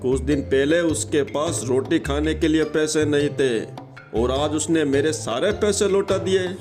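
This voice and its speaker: A man's voice: a little high, a little slow, music in the background, natural voice. An actor voicing a greedy middle-aged male moneylender in a cartoon, blustering and scheming. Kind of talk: cartoon voice acting.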